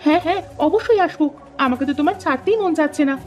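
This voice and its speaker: A tender, excitable farmer's wife from a village cartoon, voiced by a woman. She speaks high and fast in an expressive way, with faint music underneath. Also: natural voice. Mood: wondering. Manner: expressive, exclaiming. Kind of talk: cartoon voice acting.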